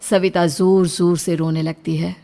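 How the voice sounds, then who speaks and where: A woman's voice: on the low side, flat delivery, a little slow, creaky, mellow. A woman reading a scripted story as its storyteller.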